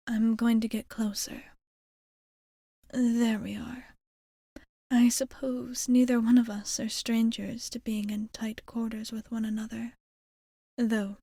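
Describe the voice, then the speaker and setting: A caring vampire bartender played by a woman speaks in a soft, fairly high female voice that often slips into whispers. Her delivery is slow, composed and formal, as in an intimate ASMR roleplay. The sound is close and dry. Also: no echo. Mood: tender. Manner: gentle, formal, measured phrasing. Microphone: close studio microphone.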